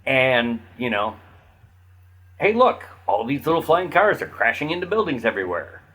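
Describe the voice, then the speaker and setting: Man, mid-range voice, bright and punchy, casual podcast conversation. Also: mid-range voice, very animated, normal speed, slightly rough. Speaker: podcast panelist.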